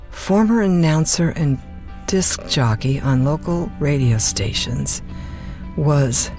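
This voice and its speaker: A woman acting in a radio drama as a rattled, snappy overnight radio hostess with halting, exclamatory speech. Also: mid-range voice. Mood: alarmed.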